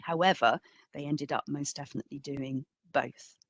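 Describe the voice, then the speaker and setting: A woman curator presenting a history talk with slides, speaking in measured, emphatic phrases and posing rhetorical questions. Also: sits low, steady tone, no room sound. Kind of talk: public lecture, presenting to an audience with slides.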